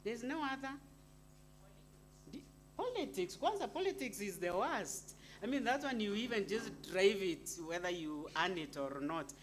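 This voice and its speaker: A woman with a Kenyan accent speaks at an unhurried, slow pace, addressing an audience informally as an academic. Her manner is chatty and playful, and she often turns to her listeners with questions. The live recording has some background noise. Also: on the low side. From Eastern Kenya. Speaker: university academic, panel speaker. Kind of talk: informal public talk to an audience. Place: live university event. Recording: clearly noisy live event recording.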